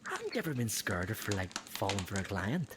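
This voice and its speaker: An actor playing a cheerful, teasing dock worker in a historical audio drama. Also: male voice, on the low side, wildly swooping pitch, fairly quick, background noise. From Ireland. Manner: jovial, teasing. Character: cheerful teasing working-class Irish man, Victorian dock worker.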